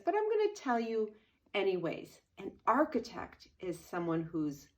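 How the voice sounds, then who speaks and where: An older woman, a grandmother, reading a children's storybook aloud in an expressive, deliberate way. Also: mid-range voice.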